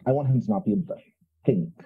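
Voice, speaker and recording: A man playing a tabletop roleplaying game, chatting and narrating his character in a halting, self-correcting way. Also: lowish voice, slightly rough. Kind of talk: casual chat and character narration. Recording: slightly dull, muffled audio.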